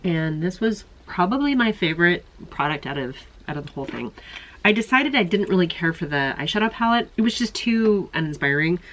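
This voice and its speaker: Woman, low voice, stuffy and congested, rambling casual makeup chat, faint hiss. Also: animated, fairly quick, a bit bright, low-quality recording. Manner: chatty, rambling, self-questioning. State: congested from illness. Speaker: beauty vlogger.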